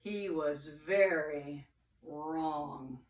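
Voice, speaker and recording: An older woman reads a storybook aloud expressively, with dramatic pauses and playful asides to children. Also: low-pitched. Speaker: grandmother reading to grandchildren. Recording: echoey room, distant mic.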